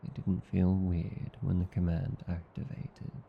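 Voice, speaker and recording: A man with an Australian accent playing a tender, teasing vampire husband in an ASMR roleplay, soothing, coaxing and calmly hypnotic. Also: low-pitched, a little slow, gravelly, lo-fi sound, a little hiss or hum. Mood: calm, satisfied. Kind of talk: ASMR roleplay, slow intimate scripted acting.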